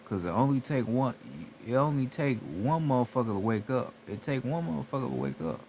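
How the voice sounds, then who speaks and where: A gravelly-voiced man speaks at a middle pitch, talking like a plainspoken spiritual teacher. He is blunt and repetitive and swears constantly as he drives his point home. A faint hiss of noise sits under the voice. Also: very animated, brisk, a little mellow. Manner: blunt, repetitive, heavy profanity. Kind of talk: casual motivational monologue.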